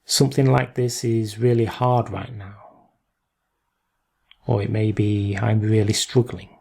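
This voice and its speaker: A man leading a guided meditation in gentle instruction, unhurried, leaving long pauses between short phrases. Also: on the low side, little pitch movement, vocal fry, husky.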